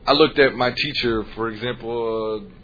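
A man from the United States, a Spanish teacher, speaking earnestly and measuredly with frequent pauses in a panel discussion. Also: mid-range voice. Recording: dull lo-fi recording, faint noise.

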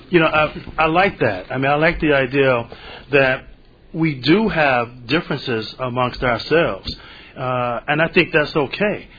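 A man's voice: mid-range voice, slight background noise. A man in his eighties, a panelist and teacher, speaking unhurriedly and thoughtfully with many fillers in a panel discussion. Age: 80s. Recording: slightly dull lo-fi recording.

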